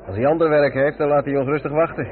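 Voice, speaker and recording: An actor playing a practical, matter-of-fact truck driver who takes charge in a radio play. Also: male voice, on the high side, steady tone, fast talker, close to an everyday voice. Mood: resigned, practical. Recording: narrow-band old radio recording, clear background noise.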